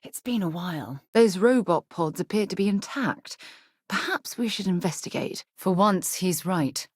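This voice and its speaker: Husky, composed woman space explorer. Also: lowish voice, expressive, moderate pace, a little mellow, dry recording, clean studio sound. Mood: composed, earnest. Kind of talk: video game voice acting.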